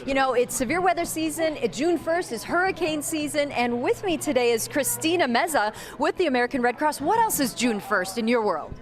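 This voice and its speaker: A woman's voice: high voice, expressive, a little fast, hardly any pauses. A woman working as a TV weather reporter, presenting and interviewing live on location.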